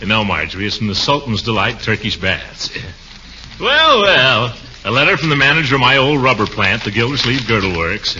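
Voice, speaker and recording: An actor playing a pompous, blustering middle-aged uncle in a 1940s radio comedy, full of puns and chuckles. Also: male voice, mid-range voice, sing-song, moderate pace, pushed and bright, slight background noise. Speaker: comic lead of a radio sitcom. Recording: dull lo-fi 1940s radio recording.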